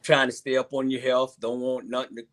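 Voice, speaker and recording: A man's voice: medium pitch, little pitch movement, brisk, fairly bright tone. Easygoing man in his sixties. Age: 60s. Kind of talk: casual panel chat on a live stream.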